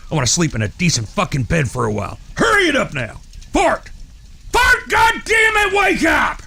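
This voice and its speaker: An actor playing a foul-mouthed, irritable eldest outlaw brother in a western audio drama, gruff and exasperated with a raspy voice. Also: male voice, mid-range voice, wildly swooping pitch, fast talker, pushed and bright, full character voice. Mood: grumpy.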